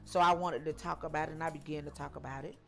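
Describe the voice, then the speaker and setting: A woman in her forties tells her life story in an interview, her voice sitting on the lower side. She stops and starts, circles back and repeats herself, filling gaps with ums. Faint music plays behind her. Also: fairly even intonation, fast, gravelly, fairly bright tone. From Georgia, US. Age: 40s. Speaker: interview guest.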